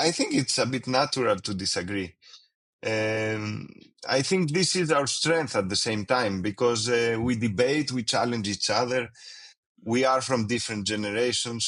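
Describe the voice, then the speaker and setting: A man with a Greek accent answers interview questions in careful, non-native English. He pauses often, fills gaps with "uh" and "um", and restarts his sentences as he gathers his thoughts. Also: mid-range voice, fairly bright tone. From Greece. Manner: hesitant, many fillers and restarts, non-native phrasing. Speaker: winemaker guest. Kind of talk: casual interview.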